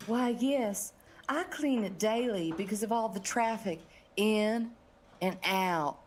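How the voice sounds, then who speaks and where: A woman's voice: medium pitch, slow pace, a little hiss or hum, close to an everyday voice. A woman playing a flirty, ditzy, put-upon bakery bookkeeper in a comedic audio drama, coy in manner.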